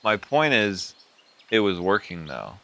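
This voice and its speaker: Young man, podcast co-host, very low but bright, clear voice, casual probing questions. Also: big pitch swings, unhurried. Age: young adult. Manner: probing, informal, repeats and rephrases questions. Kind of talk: casual conversational interviewing.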